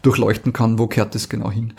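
A man with an Austrian accent co-hosting a podcast, giving casual reviews in a halting, repetitive, enthusiastic way. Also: fairly low pitch, little pitch movement, vocal fry, darkish tone, husky. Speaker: podcast co-host.

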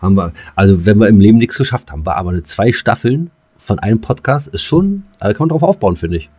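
A man's voice: on the low side, animated, fast, darkish tone. A man co-hosting a podcast in loose, casual banter, talking in slang with mild swearing. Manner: slangy, mildly cursing. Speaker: podcast co-host. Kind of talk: casual banter between friends.

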